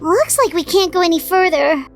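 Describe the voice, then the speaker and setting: A woman voicing a tiny, childlike, excitable female fairy companion in a video game, with a squeaky voice. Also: normal speed. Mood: curious, puzzled. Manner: excitable, refers to self in third person. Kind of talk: video game character acting.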